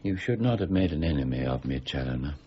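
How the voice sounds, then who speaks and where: Cold relentless official, period radio drama, low voice, widely varied intonation, curt, dry, sardonic. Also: male voice, lively intonation, fast talker, muffled recording, slight background noise. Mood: cold, stern. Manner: formal, curt, dryly sardonic. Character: stern, dry, relentless male mint warden.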